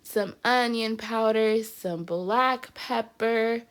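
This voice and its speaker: A woman's voice: on the high side, extremely slow, a bit bright, clean tone. Congested woman hosting a cooking vlog. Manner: chatty and upbeat, says "y'all" often. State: congested, stuffy nose. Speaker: cooking channel host. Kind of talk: casual cooking vlog narration.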